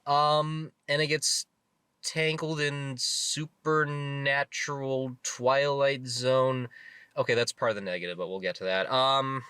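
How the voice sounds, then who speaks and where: A man co-hosting a casual film review podcast, his voice bright and forward. He rambles eagerly from point to point, full of fillers and restarts. The sound is close and dry, with no room around it. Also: mid-range voice, little pitch movement, takes it slowly, smooth, no room sound. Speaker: movie review co-host. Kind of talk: casual movie review chat.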